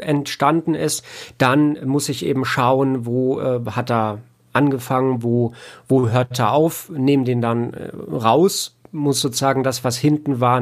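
A man with a mid-range voice talks as an audiobook editor in a casual interview. He explains things step by step, hesitating and thinking aloud as he goes. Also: mid-range voice.

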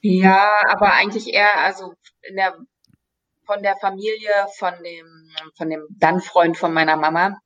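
A woman's voice: medium pitch, level tone, moderate pace, fairly bright tone. Middle-aged woman from East Berlin, interview guest, chatty and hesitant, slightly dull recording. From East Berlin, Germany. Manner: chatty, hesitant, many fillers and restarts. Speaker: guest, radio play voice actress. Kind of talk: casual interview chat.

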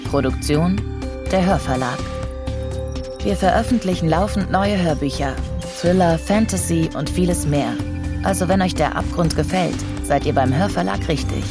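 Woman announcer over clear music. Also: on the low side, moderate pace. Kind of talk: scripted podcast intro and promo.

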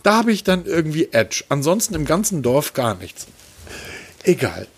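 A man from Hamburg co-hosting a casual tech podcast, telling stories with sarcastic, animated rants. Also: on the high side, moderate pace, breathy edge. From Hamburg, Germany. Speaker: podcast co-host.

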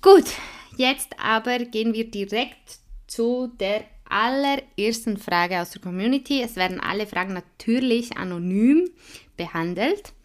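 A woman's voice: fairly high pitch, unhurried. Chatty young woman hosting a podcast. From Switzerland. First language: Swiss German. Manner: chatty, laughs often, many fillers. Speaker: podcast host. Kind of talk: casual podcast chat.

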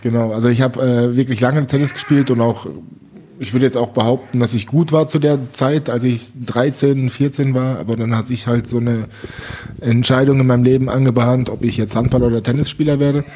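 A man's voice: medium pitch, fairly even intonation, fairly quick, warm tone, a little hiss or hum. A young man born in the former Soviet Union, a handball player, telling stories in a casual talk-show chat, chatty and laughing at himself often.

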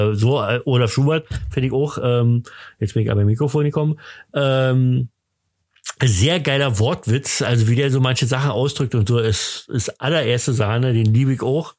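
Male podcast host, mid-pitched, talkative and enthusiastic, hesitant rambling delivery, very dry sound. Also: normal speed, darkish tone, no room sound. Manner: talkative, rambling, hesitant with many broken-off sentences. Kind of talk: casual, chatty podcast conversation.

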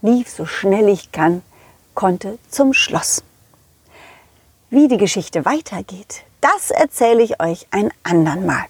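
A woman's voice: mid-range voice, animated, slow pace, breathy edge, exaggerated voice. A woman voicing a confident, loyal male dog who tells his adventures playfully in a children's audio play. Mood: confiding. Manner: playful, addresses the children directly.

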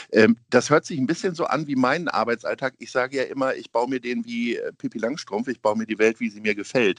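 Low-voiced man hosting a podcast. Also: no echo, studio quality. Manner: friendly, informal questions. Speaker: podcast host. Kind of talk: phone interview podcast.

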